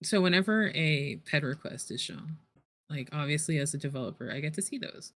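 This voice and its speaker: A woman's voice: low voice, expressive, brisk, a bit bright. Giggly, teasing woman on a stream. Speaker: podcast guest, role-play developer. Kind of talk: casual, unscripted chat.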